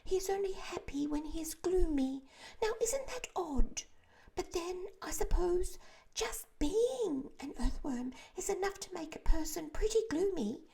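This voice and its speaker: A woman voicing a kindly, chatty female ladybird with a high, breathy, confiding voice. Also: unhurried, hardly any pauses, warm tone.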